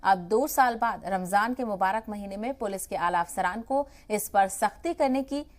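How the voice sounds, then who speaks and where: A woman's voice: mid-range voice, very fast. A woman presenting scripted news in a formal broadcast delivery. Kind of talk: scripted Urdu news narration.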